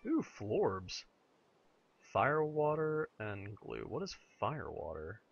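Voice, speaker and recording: A low-voiced man with a gravelly, slightly hoarse and raspy throat, chatting excitably as he plays a game. His voice comes through a dull, lo-fi home mic with a faint hiss. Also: takes it slowly. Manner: chatty, talks to himself while playing, excitable. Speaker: let's play gamer. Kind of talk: casual gameplay commentary.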